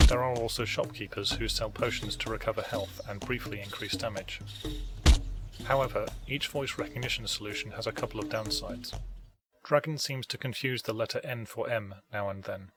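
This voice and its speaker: Low-voiced man narrating a tutorial over music. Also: normal speed. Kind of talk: scripted instructional narration over gameplay.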